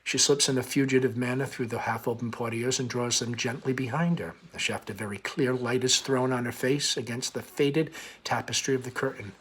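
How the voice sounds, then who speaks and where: A man's voice: medium pitch, steady tone, a little fast, fairly bright tone, slightly rough. A man reads stage directions aloud from a script. His delivery is plain and understated next to the dialogue, and he now and then stumbles over the wording. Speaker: principal reading a play aloud.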